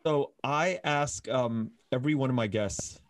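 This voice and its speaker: A man hosting a podcast interview from a home office, asking calm, measured questions into a studio microphone. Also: medium pitch. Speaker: podcast host. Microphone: studio mic with headphones.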